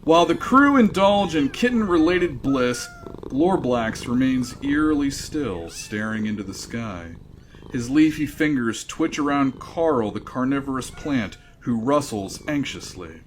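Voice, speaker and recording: A man's voice: mid-range voice, expressive, unhurried, slight background noise, lightly acted. A man playing a wry, theatrical narrator of a sci-fi comedy radio drama.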